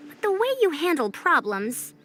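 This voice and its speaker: A woman's voice: sits high, big pitch swings, normal speed, slight background noise. An actress voicing a youthful female scholar with old-fashioned elder airs and a formal, condescending manner. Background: soft game music.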